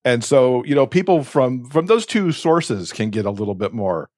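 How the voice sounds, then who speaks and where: A man's voice: medium pitch, expressive, moderate pace, a little mellow, no room sound, studio quality. A man co-hosting a podcast, talking conversationally in a discussion. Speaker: podcast co-host.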